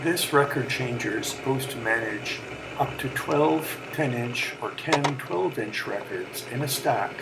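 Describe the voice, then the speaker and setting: A man with a gravelly voice plainly and methodically narrating a hands-on repair as the host of a hobby video. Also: mid-range voice, animated, measured pace, heavy background noise.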